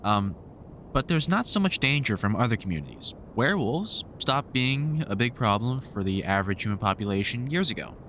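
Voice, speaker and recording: Low-voiced vampire hunter, acted by a man. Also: a bit bright, slight background noise. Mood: explaining, casual. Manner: halting, informal, explains with dry humour. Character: weary kindly male vampire hunter mentoring a newcomer. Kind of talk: audio roleplay, conversational monologue to the listener.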